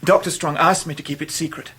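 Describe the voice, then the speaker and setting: An actor playing an earnest, loyal young Victorian gentleman in a radio drama, with a husky voice. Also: on the high side, steady tone, fairly quick, heavily acted. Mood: earnest, grave. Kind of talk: period radio drama acting.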